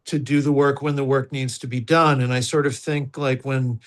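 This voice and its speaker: A man's voice: mid-range voice, little pitch movement, moderate pace. A man, an actor, reflecting on his working method in an interview and searching for the right words as he goes. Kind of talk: interview answers.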